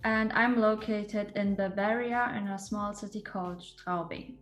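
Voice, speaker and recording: A woman's voice: mid-range voice, fairly even intonation, unhurried, roomy sound, soft background music. A woman from Germany giving an earnest, explanatory video testimonial.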